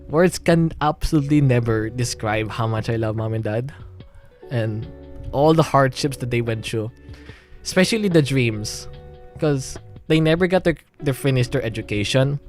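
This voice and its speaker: A young Filipino-accented man talks into his stream in a rambling way, restarting his sentences and laughing nervously. His voice sits at an ordinary middle pitch for a man. Faint music plays under him. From Philippines. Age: young adult. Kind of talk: emotional livestream monologue.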